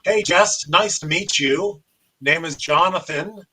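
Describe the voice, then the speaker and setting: A man's voice: a little high, slow, bright, forceful tone, breathy edge, rough recording. An American man hosting a casual amateur radio demonstration and chatting as the operator.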